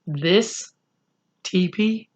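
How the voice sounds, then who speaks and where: A woman's voice: fairly low pitch, drawn-out words, darkish tone. A woman voicing a tiny proud, haughty warrior man who speaks in terse, scornful broken English for a children's story.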